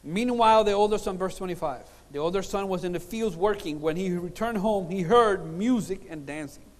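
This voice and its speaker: Male preacher, middle-aged, high register, emphatic storyteller, big echoing hall PA sound. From Puerto Rico, born in Cuba. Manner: animated storytelling, emphatic pauses and repetition. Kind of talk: sermon with humorous personal stories. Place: large conference hall, on stage at a lectern. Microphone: lapel mic. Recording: reverberant hall sound system.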